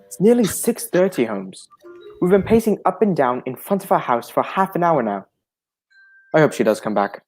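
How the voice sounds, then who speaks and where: An actor playing a detective's loyal, polite gentleman companion, mildly questioning, in an old-style radio drama. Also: on the high side, very animated, moderate pace, faint music behind, low-quality recording. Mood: impatient. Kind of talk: 1940s radio drama acting.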